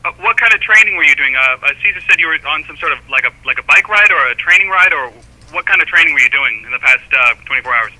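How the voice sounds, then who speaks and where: Fast, stammering male reporter on phone. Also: fairly high pitch, animated, bright, forceful tone, low-quality recording. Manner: stammering, repeats words. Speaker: sports reporter asking questions. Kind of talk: press conference-call questioning. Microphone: telephone.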